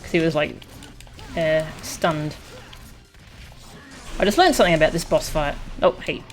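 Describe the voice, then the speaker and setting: A woman's voice: sits low, animated, a little slow, soft background music. A woman streaming a video game, giving casual live commentary as she plays.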